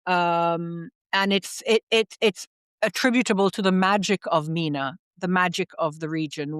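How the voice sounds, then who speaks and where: Woman podcast host, thoughtful and earnest, lots of ums, smooth clear voice, dry close sound. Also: mid-range voice, no room sound. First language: English. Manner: thoughtful, earnest, with frequent fillers and restarts. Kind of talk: reflective podcast conversation.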